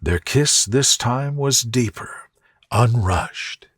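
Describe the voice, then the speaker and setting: Male narrator for sleep stories: soft and gentle, slightly husky, very unhurried delivery. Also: mid-range voice, lively intonation, dry recording, studio quality. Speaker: narrator reading a sleep story. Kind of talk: soothing scripted bedtime narration.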